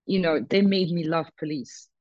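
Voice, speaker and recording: A woman's voice: low voice, moderate pace, a little mellow, no echo, dull audio. A businesswoman talking frankly as a guest in an interview, often stressing her points with "totally" and "hundred percent". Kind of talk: casual interview conversation.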